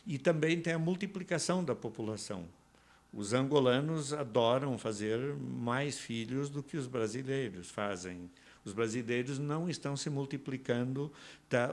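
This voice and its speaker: A man from Portugal, a guest in an interview, explaining his views in a conversational, digressive way with asides and tag questions. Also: mid-range voice.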